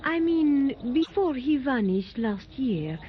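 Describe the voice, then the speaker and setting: A woman's voice: high voice, sing-song, slow pace, full character voice. A woman playing a mysterious scheming woman. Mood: probing. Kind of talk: 1930s radio drama acting. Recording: muffled 1930s radio transcription.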